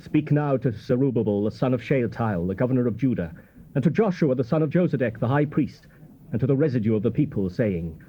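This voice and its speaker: An actor playing a stern, exhorting Old Testament prophet, declaiming scripture in grave, emphatic phrases. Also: male voice, mid-range voice, animated, fairly quick, husky. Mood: solemn. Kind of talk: dramatized King James scripture reading. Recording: muffled, noisy old cassette tape.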